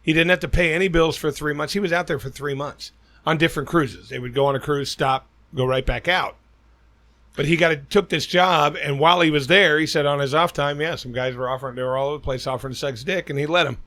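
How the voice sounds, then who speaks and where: A man co-hosting a crude comedy podcast, telling stories loudly and bluntly with a Cincinnati, Midwest accent. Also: fairly high pitch, steady tone, quick pace. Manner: loud, storytelling, blunt opinions. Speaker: podcast co-host. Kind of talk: casual crude podcast banter.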